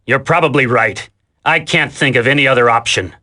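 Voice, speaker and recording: A man's voice: mid-range voice, brisk, bright, forceful tone, close to an everyday voice. A man voicing a brash, impatient squad leader in a video game, slangy, wisecracking and bossy. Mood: bossy, urgent.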